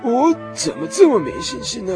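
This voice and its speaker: A man's voice: very high-pitched, huge pitch swings, normal speed, creaky, music in the background, full character voice. A man playing a weary, despairing but fervent ancient prophet in a radio drama, impassioned and full of sighs. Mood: self-reproaching. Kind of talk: Mandarin radio drama acting.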